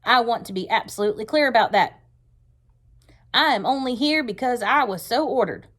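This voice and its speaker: A woman's voice: on the high side, animated, moderate pace, pitched above the performer's own voice. A woman reading a storybook aloud, voicing a fierce, hard-driving male wartime Navy commanding officer with stern, emphatic delivery. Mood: angry, resolute. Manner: stern, forceful, emphatic speech-making. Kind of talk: read-aloud storybook character voice.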